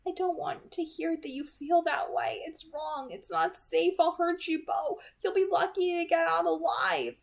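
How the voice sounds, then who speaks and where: A woman's voice: very high-pitched, a little slow, vocal fry, hardly any pauses, pitched above the performer's own voice, full character voice. A woman voicing an anguished young female vampire, tender but stern, with intense, urgent warnings in an audiobook-style reading.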